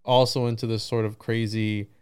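Male podcast host, clear resonant voice, loose and rambling conversational delivery, very dry room sound. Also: lowish voice, level tone, moderate pace, a little mellow, dry recording. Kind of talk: casual conversational interview.

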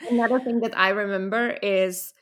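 A woman's voice: medium pitch, fairly even intonation, normal speed, fairly bright tone. A woman in her thirties from the Czech Republic, a guest in a casual interview, telling stories thoughtfully with some restarts. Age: 30s.

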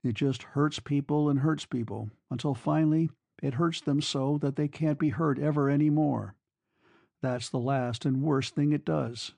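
A narrator acts out a disillusioned young male soldier in a literary story. His voice is mellow and creaky, brooding and wistful, the sound of a weary, self-absorbed sentimental lover. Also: fairly high pitch, steady tone, normal speed, dry recording. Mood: weary, pitying.

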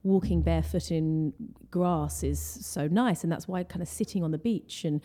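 A woman's voice: deep voice, normal speed, mellow, in a large hall. An English woman, a guest, chatting casually and explaining things at length with plenty of fillers. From England. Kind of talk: casual interview chat.

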